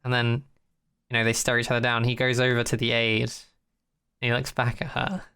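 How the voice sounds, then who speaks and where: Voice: medium pitch, completely flat delivery, a little fast, pushed and bright, clear, resonant tone. A podcast host, chatting in a rambling, jokey, sweary recap and mockingly acting out quotes. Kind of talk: casual rambling recap chat.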